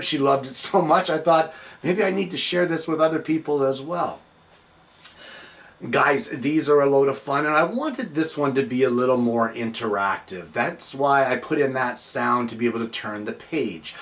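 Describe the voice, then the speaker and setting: A man's voice: mid-range voice, a bit bright, distant mic. A middle-aged or older man hosting his show, talking casually and earnestly to his viewers. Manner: earnest, addresses viewers as guys. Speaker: show host. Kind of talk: casual address to viewers and animated children's storybook reading.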